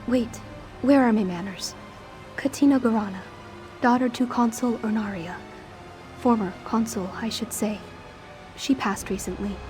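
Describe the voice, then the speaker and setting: A woman's voice: fairly high pitch, a little mellow, lightly acted. A woman voicing an exhausted, polite noble daughter in a fantasy game, rambling and apologetic. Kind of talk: scripted fantasy game dialogue.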